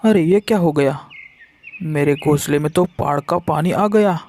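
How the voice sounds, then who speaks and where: Man voicing a cartoon crow, high voice, hapless, grumbly, exclaiming to himself. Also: moderate pace, darkish tone, slight background noise, close to an everyday voice. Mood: startled, self-soothing. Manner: exclaiming, complaining aloud to himself. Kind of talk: Hindi children's cartoon voice acting.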